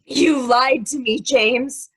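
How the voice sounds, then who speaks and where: High-voiced, guilt-ridden young woman played by an actress. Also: steady tone, slow pace, a bit bright, slightly rough, heavily acted. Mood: hurt, accusing. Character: Alicia, guilt-ridden young woman, secret lover, emotional. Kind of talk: stage play table reading.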